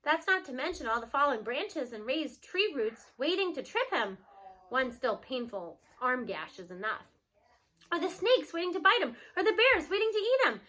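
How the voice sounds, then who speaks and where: A woman narrating a children's story aloud in an expressive read-aloud style. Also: sits high, moderate pace, poor audio.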